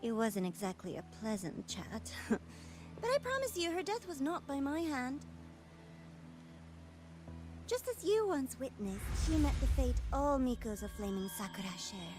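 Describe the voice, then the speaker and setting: A woman's voice: high-pitched, very animated, unhurried, music in the background. A woman playing a sly, mockingly courteous female villain in a video game, with a playful, taunting, theatrical delivery. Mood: mock sympathetic. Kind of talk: game voice acting.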